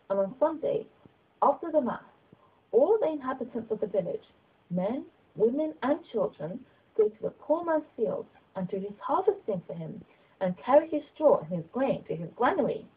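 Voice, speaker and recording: A woman voicing a kindly, humble old bishop in an audiobook, speaking in an earnest, measured way with gentle persuasion. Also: a little high, very animated, slightly rough, narrow, tinny audio, exaggerated voice. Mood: earnest, exhorting. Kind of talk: audiobook character dialogue.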